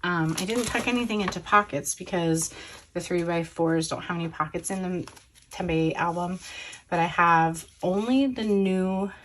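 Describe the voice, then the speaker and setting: Woman, hoarse voice, casual rambling chat, home craft desk, noisy background. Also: lowish voice, normal speed, a bit bright. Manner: chatty, rambling, lots of fillers and self-corrections. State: hoarse, recovering from illness. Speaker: scrapbooking crafter showing supplies. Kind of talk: casual show-and-tell chat.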